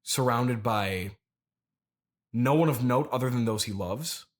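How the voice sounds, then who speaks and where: Guy doing a cagey grandiose mystic farmer, halting and pompous, middle pitched, improv comedy podcast. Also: natural voice. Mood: grandiose, mournful. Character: cagey, grandiose immortal turnip farmer, male, mystic, grieving superfan.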